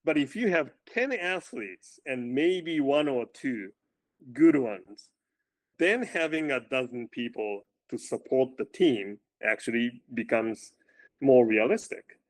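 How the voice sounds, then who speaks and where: A man with a loud voice, a running coach as guest, talking casually and often restarting with repeated words. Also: medium pitch, lively intonation. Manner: halting, repeats words while thinking. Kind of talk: casual interview chat.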